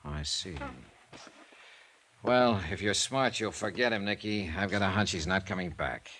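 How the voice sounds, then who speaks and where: An actor in a 1950s radio drama playing a tough, wry investigator with a clipped, commanding manner. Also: male voice, low-pitched, unhurried, a bit bright, full character voice. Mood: frank urging. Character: tough, cool, wry male insurance investigator.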